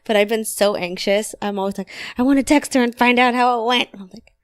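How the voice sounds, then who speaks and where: A young woman with a raspy, worn voice chatting casually and animatedly as a podcast co-host. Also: fairly high pitch, a little fast, dry recording. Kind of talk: casual chat.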